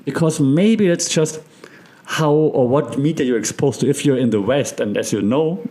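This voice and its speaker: A man's voice: medium pitch, expressive, normal speed, mellow. A man with a German accent talks through ideas as a podcast host, in a loose, conversational way. He keeps checking in with his listeners as he explains. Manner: chatty, checks in with listeners often.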